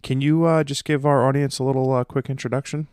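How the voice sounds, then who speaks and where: Smooth-voiced male podcast host. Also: mid-range voice, flat delivery, quick pace, darkish tone, no room sound, clean studio sound. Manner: friendly, rambling questions with fillers. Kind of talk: casual interview questions.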